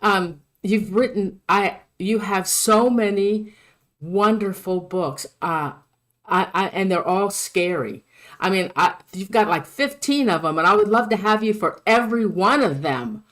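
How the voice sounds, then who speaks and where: A woman's voice: mid-range voice, unhurried, fairly bright tone. Gushing woman podcast host. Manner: gushing, enthusiastic, stumbling restarts. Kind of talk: live podcast hosting.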